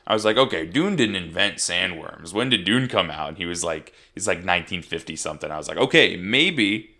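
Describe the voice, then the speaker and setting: A young man co-hosting a movie review chat, rambling with restarts and fillers, with a tired, gravelly voice. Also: low-pitched, expressive, a little fast, bright, forceful tone. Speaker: podcast co-host.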